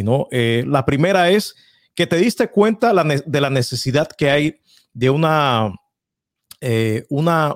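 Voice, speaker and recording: A man's voice: mid-range voice, no echo, studio quality. A man hosting a podcast, summarizing his guest's answers and asking thoughtful questions. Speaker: podcast host. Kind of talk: interviewing.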